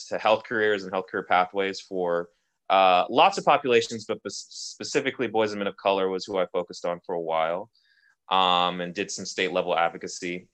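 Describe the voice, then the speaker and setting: A young man co-hosts a casual podcast in a very deep, low voice. He speaks in measured, articulate sentences with frequent ums. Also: a bit bright. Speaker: podcast co-host, policy advocate.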